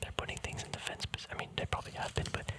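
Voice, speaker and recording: A man speaking in a soft, unvoiced whisper, relaxed and chatty, hosting a casual ASMR walkthrough. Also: racing. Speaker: ASMR video host.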